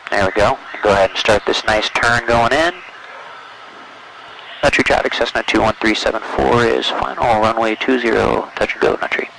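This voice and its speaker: A man's voice: on the low side, steady tone, moderate pace, gravelly. A man working as a flight instructor, giving encouraging step-by-step directions over a headset in a small plane. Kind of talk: calm step-by-step flying instruction with radio calls. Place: small airplane cockpit in flight. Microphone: aviation headset. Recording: loud aircraft cabin noise, intercom audio.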